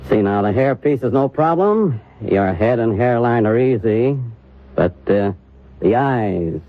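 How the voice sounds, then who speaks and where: A man's voice: mid-range voice, expressive, unhurried. An actor playing a fussy, obliging male makeup artist who muses aloud, in an old-time radio drama. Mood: absorbed, brisk. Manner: musing, muttering repeats to himself. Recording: tinny band-limited old radio recording.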